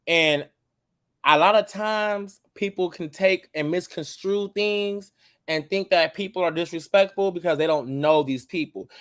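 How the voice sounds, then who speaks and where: Casting: twenties male guest, blunt, level-toned, swears calmly, slightly high voice. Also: no echo, clean studio sound. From United States. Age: 20s. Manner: blunt, calm and cussing. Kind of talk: casual chat.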